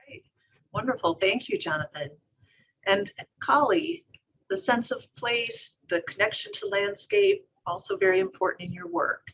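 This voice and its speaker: A woman's voice: fairly high pitch, sing-song, normal speed, fairly bright tone, muffled recording, a little hiss or hum. A woman moderating an author discussion, passing questions along to the panelists.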